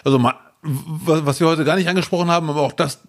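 A man's voice: fairly high pitch, fast. Joking, enthusiastic man on a podcast. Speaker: podcast co-host. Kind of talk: casual chat.

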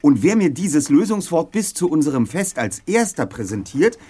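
Young male detective, audio drama, inquisitive, precise, theatrical, lively intonation, lightly husky. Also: a little high, moderate pace. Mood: declaiming, intrigued. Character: eager, inquisitive young male detective reading a riddle aloud. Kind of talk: German audio drama acting.

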